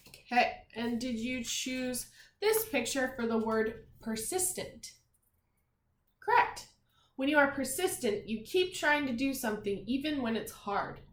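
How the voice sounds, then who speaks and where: A woman teacher giving a read-aloud vocabulary lesson to young children, enunciating deliberately, repeating phrases and putting questions to her listeners. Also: a little high. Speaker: primary school teacher. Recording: echoey room.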